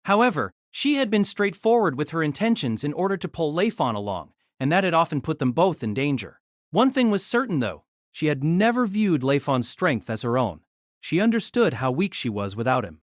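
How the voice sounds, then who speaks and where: A woman's voice: low voice, sing-song, normal speed, dry recording, clean studio sound. A woman narrating a novel in a scripted, dramatic storytelling style.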